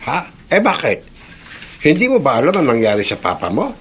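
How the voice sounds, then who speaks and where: A man's voice: medium pitch, huge pitch swings, brisk, a bit bright, husky, exaggerated voice. An actor playing a chatty old male Filipino neighbour, folksy and gossipy, in a radio drama. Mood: grave, sympathetic. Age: older.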